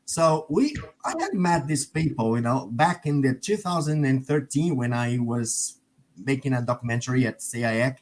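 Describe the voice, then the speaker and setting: A man of ordinary pitch who hosts a relaxed panel discussion. He leads the conversation with questions and habitually checks in with "right?" after his points. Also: moderate pace. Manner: guiding questions, tag "right?". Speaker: discussion host.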